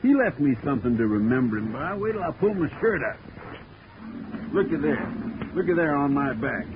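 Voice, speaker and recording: Tough, folksy circus roughneck, slightly high-pitched, on a very tinny, noisy vintage radio recording. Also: male voice, sing-song, normal speed. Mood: aggrieved, gruff. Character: tough, gruff circus hand, a hard man to kill. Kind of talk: 1940s radio acting.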